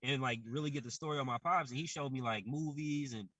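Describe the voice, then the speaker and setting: A man's voice: medium pitch, fast talker, bright, forceful tone, no room sound. A young man co-hosting a podcast, explaining his views in casual chat with everyday comparisons. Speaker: podcast co-host.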